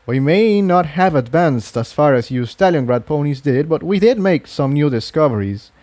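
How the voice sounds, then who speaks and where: A man's voice: a little high, animated, moderate pace, a little mellow, no echo, pitched above the performer's own voice, natural voice. Man voicing a confident, clever pony inventor.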